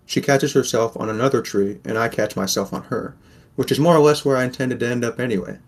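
A man's voice: mid-range voice, fast, close to an everyday voice. A man playing a weary, wry sixteen-year-old boy from a poor mining town, narrating a fan audio drama in the first person.

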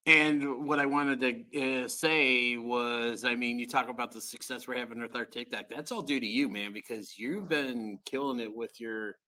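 A man's voice: medium pitch, fairly even intonation, normal speed, few pauses, sharp tone, rough recording. A man co-hosting a casual live podcast, eager and rambling, often restarting his sentences. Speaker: podcast co-host.

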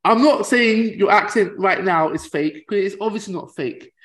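A man's voice: high-pitched, moderate pace, a bit bright. A man hosting a podcast, asking questions in a casual, hesitant and teasing way. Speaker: podcast host. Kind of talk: casual interview chat.

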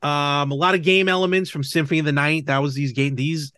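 Slightly higher-voiced man hosting a casual podcast. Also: fairly even intonation, brisk, a bit bright, dry recording, studio quality. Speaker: podcast host. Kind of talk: casual chat about games and film.

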